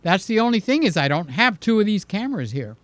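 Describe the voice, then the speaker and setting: A man's voice: high-pitched, big pitch swings, fast talker, fairly bright tone, no room sound. A man hosting a casual live stream, answering viewers and stressing his points with a repeated "okay?". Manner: emphatic, ends points with "okay?". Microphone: mic placed in front of him.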